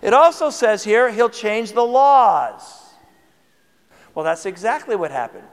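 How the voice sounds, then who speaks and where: A man's voice: high-pitched, very animated, a little slow, long reverb tail. A man preaching a sermon in a conversational way, asking the congregation questions, his voice tired. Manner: conversational, asks the audience questions. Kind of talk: sermon teaching.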